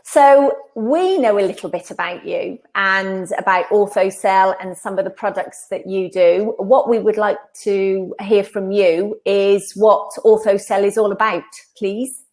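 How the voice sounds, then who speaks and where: A woman's voice: medium pitch, unhurried, a little mellow. A woman hosting a live show, giving an upbeat welcome and introducing a guest over a video call. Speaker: host.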